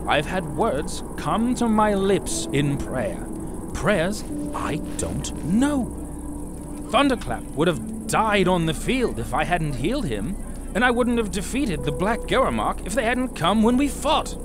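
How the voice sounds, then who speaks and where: An actor playing an earnest, troubled young knight in a fantasy audio drama, with a searching delivery. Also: male voice, sits high, big pitch swings, measured pace, over music.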